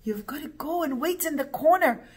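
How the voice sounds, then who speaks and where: Woman speaking as a firm, reasoning rabbi, high voice. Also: expressive, normal speed. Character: calm, firm male rabbi, protective grown son.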